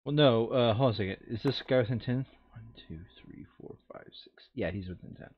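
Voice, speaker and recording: A man's voice: mid-range voice, fairly quick, breathy edge, no echo, muffled recording. A man chatting casually through a board game, counting moves and reading out rules.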